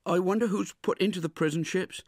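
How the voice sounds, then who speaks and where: Voiced by a man, a timid small boy answers in a high, hesitant voice that stammers when he is frightened. The sound is dry and close. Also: fairly quick, dry recording.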